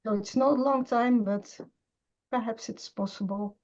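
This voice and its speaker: A middle-aged woman talks as an academic guest in an interview. She hesitates often and restarts sentences, in a mellow, warm voice. The sound is dull and lo-fi, as over a video call. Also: on the high side, fairly even intonation, a little slow. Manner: hesitant, many fillers and restarts. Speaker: interview guest, scholar of Semitic languages. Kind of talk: conversational interview.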